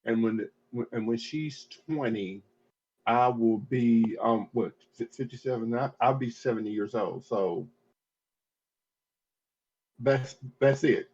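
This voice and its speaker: A husky man in his fifties talks things through on a casual podcast. He rambles and restarts his sentences, leaning on "I mean" as he goes. The audio sounds dull and lo-fi. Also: mid-range voice, takes it slowly, pauses often, a little mellow. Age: 50s.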